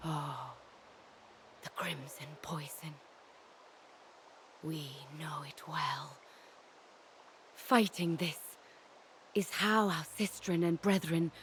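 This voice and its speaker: A woman voicing a gentle, solemn plant creature who nurtures and makes peace, speaking in halting, simple phrases in a fantasy game. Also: on the low side, slight background noise. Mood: sorrowful, hushed. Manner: slow, halting, simple speech with plural self-reference.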